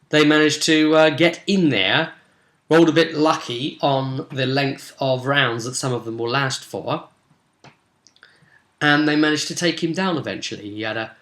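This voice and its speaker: A man with a bright, forward voice and a mid-range pitch gives a casual game master's recap. He explains things conversationally and rambles a little. The sound is roomy and echoey. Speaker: game master recapping tabletop role-play sessions. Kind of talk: casual spoken recap and commentary.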